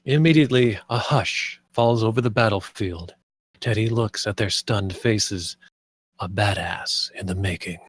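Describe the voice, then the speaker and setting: A man's voice: lowish voice, a little slow, husky. A man reading stage directions aloud as scripted screenplay narration.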